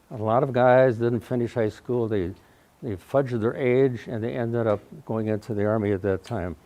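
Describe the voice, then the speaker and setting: Mellow-voiced elderly Midwestern man. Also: mid-range voice, normal speed. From Wausau, Wisconsin, USA. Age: around 90. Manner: folksy storytelling, often clears his throat; speaking loudly without a microphone. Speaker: guest speaker. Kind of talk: personal life-story talk to a live audience. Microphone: no microphone, recorded in the room.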